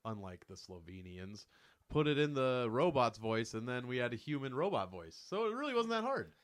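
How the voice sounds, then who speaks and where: An American man hosting a podcast, telling things in a joking, animated way with casual banter. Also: mid-range voice, moderate pace. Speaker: podcast host.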